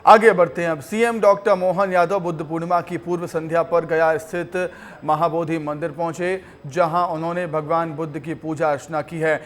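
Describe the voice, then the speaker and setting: A man's voice: on the high side, steady tone, normal speed. A man reading television news as an anchor, in an emphatic style that repeats headlines, with music behind. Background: news music bed.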